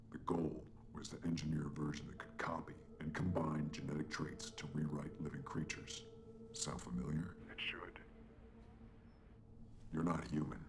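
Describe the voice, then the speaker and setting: Shadowy informant, very deep voice, gravelly, hushed, game cutscene. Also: male voice, background noise. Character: shadowy male informant, secretive and urgent. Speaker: secret informant.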